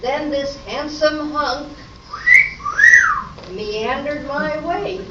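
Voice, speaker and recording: A woman playing a fussy, chatty, dramatic lady in an amateur radio comedy, theatrical and deliberate. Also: high voice. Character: dramatic, chatty women: fussy diner, gossipy witness. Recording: noisy echoey room, distant muffled mic.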